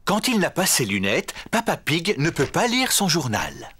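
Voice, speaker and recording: A man's voice: a little high, huge pitch swings, normal speed, sharp tone, a little hiss or hum. A man narrating a children's cartoon from a script, telling the story simply for young listeners.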